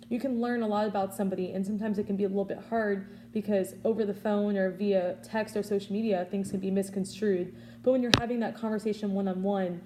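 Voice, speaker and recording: A woman's voice: mid-range voice, warm tone, slight background noise. A woman in her early twenties giving an informal prepared speech, offering earnest advice in a conversational way. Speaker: student public speaker.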